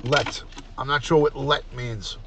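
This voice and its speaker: A middle-aged man hosting a casual livestream, chatty and repetitive, often clearing his throat. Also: mid-range voice, steady tone, measured pace, background noise. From New York. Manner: chatty, repeats himself, frequent throat clearing. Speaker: livestream host, retired detective. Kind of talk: casual livestream chat, reading comments aloud.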